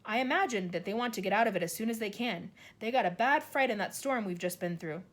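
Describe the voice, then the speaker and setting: A woman voicing a calm, sensible young boy in a storybook, speaking in a reassuring way. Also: medium pitch, quick pace, lightly acted.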